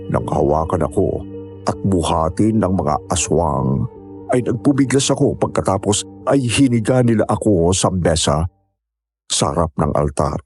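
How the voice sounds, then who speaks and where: Low-voiced man narrating horror. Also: very animated, moderate pace, darkish tone, slightly rough, faint music behind. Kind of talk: first-person horror story narration.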